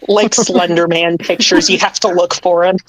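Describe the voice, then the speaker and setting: Woman, lower voice, playful, joking, casual. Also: steady tone, crowd noise, low-quality recording. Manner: playful, quick jokes. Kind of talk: casual podcast chat.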